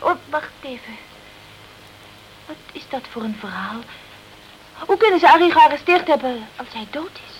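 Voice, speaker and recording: Very high-voiced, indignant club singer. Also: female voice, expressive, normal speed, pauses often. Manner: offended, haughty, evasive. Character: indignant, defensive female nightclub singer hiding the truth. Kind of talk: Dutch radio crime drama acting. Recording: noisy old radio play recording.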